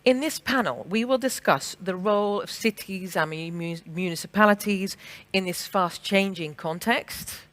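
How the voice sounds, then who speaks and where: A woman with an English accent moderating a conference panel, frank and brisk with frequent fillers and restarts. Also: mid-range voice, a bit bright. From England and the Netherlands. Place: conference stage.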